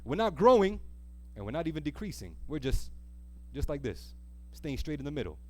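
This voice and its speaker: Male preacher, fairly high voice. Impassioned, repetitive, stretches key words, questions the congregation. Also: sing-song, mellow. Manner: emphatic, repetitive, drawn-out stress on key words. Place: church, live before a congregation.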